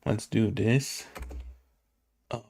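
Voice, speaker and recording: A man talks through his code at a very slow, halting pace, his voice low and heavily gravelly. He hesitates often, mutters, and asks himself questions as he works it out. Keys clack and click behind him. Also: fairly even intonation, a little mellow, a little hiss or hum. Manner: hesitant, muttering, many fillers and self-questions. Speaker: game developer coding on stream. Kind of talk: thinking aloud while coding. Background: keyboard typing and clicking.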